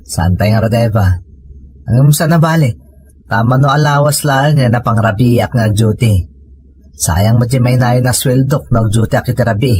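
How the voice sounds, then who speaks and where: A man's voice: medium pitch, normal speed, a little mellow, breathy edge, lightly acted. A man with a Filipino accent playing a tired working husband in an Ilocano audio drama. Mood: weary, earnest. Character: working husband, tired of family money strain.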